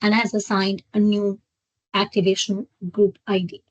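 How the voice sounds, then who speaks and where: Woman software trainer, dry close sound. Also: mid-range voice, completely flat delivery, dry recording, highs cut off. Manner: halting, step-by-step explaining with check-in questions. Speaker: software trainer hosting a webinar. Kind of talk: live screen-share product walkthrough.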